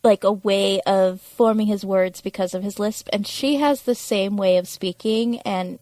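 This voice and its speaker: A woman's voice: mid-range voice, fairly even intonation, unhurried, darkish tone, no room sound. A woman co-hosting a podcast, chatting informally as she recaps television. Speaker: podcast co-host. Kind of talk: casual TV recap chat.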